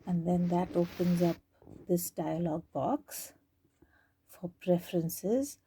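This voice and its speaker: Female tutorial presenter, slow and very mellow, unscripted, thinking aloud step by step. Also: on the low side, husky. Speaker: tutorial presenter demonstrating tape digitizing. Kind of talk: informal unscripted how-to demonstration.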